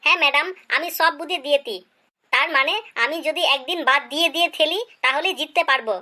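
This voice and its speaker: A performer voicing a cheeky schoolboy pupil who makes excuses in a deferential, pleading way in an animated comedy skit. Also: high voice, fast.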